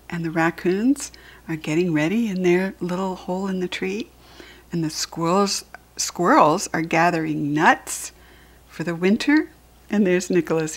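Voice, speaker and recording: An American woman reading a picture book aloud to young children, gently explaining things as she goes in a soft, breathy voice. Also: mid-range voice. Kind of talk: read-aloud storytelling with asides to young children.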